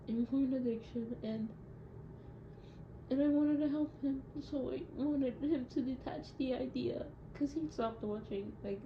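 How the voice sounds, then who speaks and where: A young woman records a personal audio diary in a fairly high voice. She speaks haltingly and reflectively, searching for her words. The sound is dull and clearly echoey. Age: young adult. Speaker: audio diarist. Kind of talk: confessional audio diary monologue. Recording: muffled, echoey room with loud noise.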